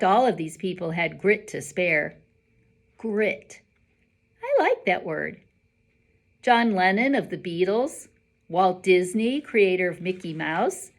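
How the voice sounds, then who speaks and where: A woman reading a children's novel aloud like a teacher, expressive and emphatic with clear enunciation. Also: medium pitch. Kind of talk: expressive storybook read-aloud.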